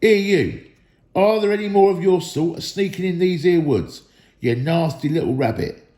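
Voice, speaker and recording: A man voicing a rough, dim, quarrelsome troll in a storybook reading, with coarse working-class slang. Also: sits high, moderate pace, mellow, reverberant, pitched above the performer's own voice, lightly acted. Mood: suspicious, menacing.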